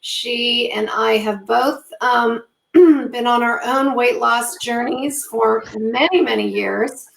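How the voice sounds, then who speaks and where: A woman co-hosting a live low-carb cooking show who is losing her voice, talking in a halting way with many fillers and throat-clearing. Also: roomy sound, low-quality recording. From Southern California. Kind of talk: live-streamed casual chat.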